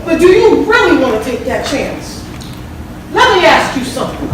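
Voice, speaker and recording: A woman's voice: high-pitched, very animated, normal speed. A woman playing a confident, preachy relationship counselor on stage, emphatic and instructive, with repeated commands. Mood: instructive, firm. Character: confident, preachy female relationship counselor with a self-help book. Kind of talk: live stage-play acting. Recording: echoey theater hall, distant mic, noisy.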